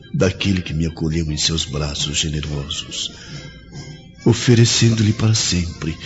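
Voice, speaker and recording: A man's voice: low-pitched, natural voice. A man playing a remorseful, resolute young Roman officer, speaking earnest inner thoughts in a radio drama, with a solemn manner. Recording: long reverb, dull lo-fi sound, music behind. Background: music.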